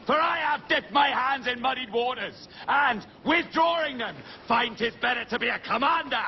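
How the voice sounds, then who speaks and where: An actor playing a proud, commanding pirate captain in a video game, speaking in measured, theatrical and grandiloquent phrases. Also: male voice, extremely high pitch, lo-fi sound, slight background noise. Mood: rousing, oratorical. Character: proud, commanding, eloquent male pirate captain, self-styled old wolf. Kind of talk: video game cutscene acting.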